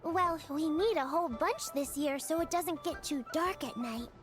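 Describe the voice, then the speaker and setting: Woman voicing a sweet, high-pitched, sensible little girl. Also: normal speed, over music, close to an everyday voice. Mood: cheerful. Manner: chirpy, reassuring, explains patiently. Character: cheerful, caring, sensible little girl, young apprentice. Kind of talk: animated video game voice acting.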